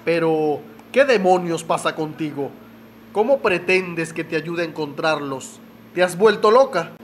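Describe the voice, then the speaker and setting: A man's voice: on the high side, animated, unhurried, a little hiss or hum. A man playing a proud, stern middle-aged magnate in a melodramatic radio soap. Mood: defiant, exasperated. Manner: indignant, melodramatic. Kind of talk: radio soap opera acting.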